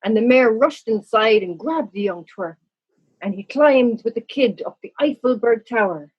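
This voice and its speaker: Female children's story narrator, fairly high and husky, expressive, slightly muffled recording. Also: normal speed.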